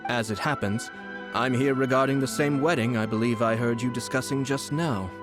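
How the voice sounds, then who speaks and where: A man playing a composed, shrewd young noble commissioner in a video game, speaking in a formal, polite, measured way. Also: mid-range voice, steady tone, music in the background, lightly acted. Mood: courteous.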